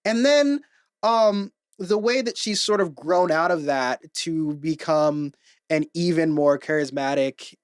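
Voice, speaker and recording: A man's voice: on the high side, a little slow, smooth, no room sound, clean studio sound. A man chatting as a podcast guest, wry and playful with a self-deprecating edge. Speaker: comedian guest. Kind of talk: casual podcast chat.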